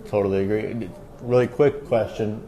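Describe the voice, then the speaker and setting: Low, mellow-voiced male sports podcast host. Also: fairly even intonation, moderate pace, highs cut off. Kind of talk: conversational sports interview.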